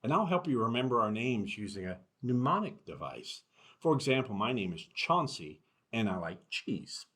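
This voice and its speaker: A man's voice: mid-range voice, very animated, normal speed, fairly bright tone, husky, heavily acted. A man voicing a tiny, helpful, confident mouse pupil from a storybook.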